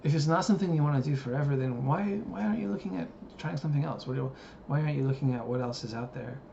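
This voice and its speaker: A man's voice: medium pitch, quick pace, creaky, dark tone. Musing man in his thirties, lo-fi. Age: 30s. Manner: musing, hesitant, many fillers. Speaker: vlogger. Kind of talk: reflective personal monologue. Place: living in Japan. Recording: dull lo-fi audio with background noise.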